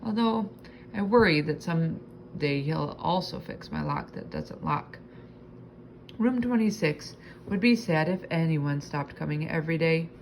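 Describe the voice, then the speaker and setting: A woman narrates a children's storybook aloud. Her voice sits a little low and has noticeable fry, and she reads expressively, drawing out key words. There is faint noise in the recording. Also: expressive, unhurried, low-quality recording. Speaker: storybook reader.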